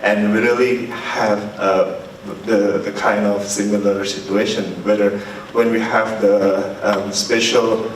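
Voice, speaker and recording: A man with an Indonesian accent speaks up from the audience in a fairly low voice, pausing as he builds his question. The hall is very reverberant and the microphone is some way off. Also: little pitch movement, a little hiss or hum. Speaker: audience member asking a question. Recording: very echoey hall, distant mic.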